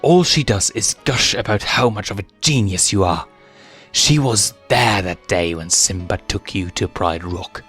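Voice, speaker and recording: A man's voice: lowish voice, unhurried, fairly bright tone, breathy edge, soft background music. Man putting on a cold, pushy young lioness. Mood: insistent. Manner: insistent, scornful, commanding.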